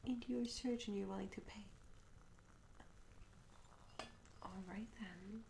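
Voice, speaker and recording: Woman playing a soft, whispery young tavern maid. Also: medium pitch, steady tone, moderate pace, a little hiss or hum, lightly acted, low-quality recording. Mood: curious, polite. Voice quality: soft, breathy half-whisper. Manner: gentle, hesitant, old-fashioned formal wording. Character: curious, shy young medieval tavern maid, wistful and polite. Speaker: ASMR roleplayer. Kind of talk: soft-spoken ASMR roleplay. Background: tavern ambience sounds.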